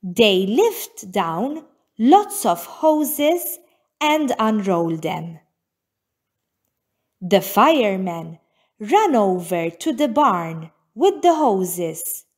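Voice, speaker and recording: A woman reading a children's storybook aloud as narrator, with deliberate, careful phrasing. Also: medium pitch, very animated.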